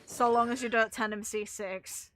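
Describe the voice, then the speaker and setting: A woman's voice: on the high side, fairly even intonation, moderate pace, a bit bright. A woman doing casual gaming commentary as a streamer, rambling and opinionated with some casual swearing. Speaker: game streamer.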